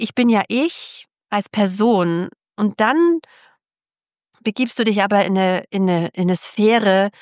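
A woman's voice: medium pitch, dry recording, studio quality. A middle-aged woman speaking candidly and emphatically as an author being interviewed on a podcast, often repeating words for emphasis.

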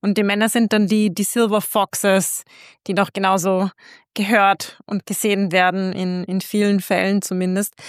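A woman's voice: mid-range voice, level tone, normal speed, clear, resonant tone, no room sound, studio quality. A woman in her early thirties co-hosting a podcast in a casual, slightly hesitant, self-deprecating conversational way. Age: 30s. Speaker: podcast co-host.

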